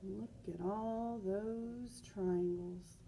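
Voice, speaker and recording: A woman's voice: medium pitch, very slow, vocal fry, a little hiss or hum, poor audio. A woman reading a children's picture book aloud as a teacher, expressively, stressing words and adding chatty asides. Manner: expressive, with emphatic repeated words.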